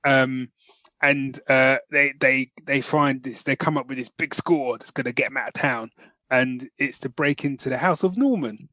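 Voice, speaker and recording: A man co-hosting a podcast, telling stories in an animated, slangy, casual review style. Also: medium pitch, moderate pace, no echo. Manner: animated storytelling with slangy asides. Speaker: podcast co-host. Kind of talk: casual chat, film review.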